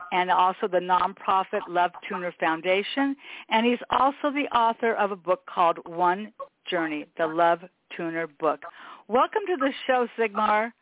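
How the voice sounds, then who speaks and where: A woman's voice: mid-range voice, no echo, narrow, tinny audio. Chatty woman podcast host, phone-thin sound. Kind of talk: interview with scripted intro.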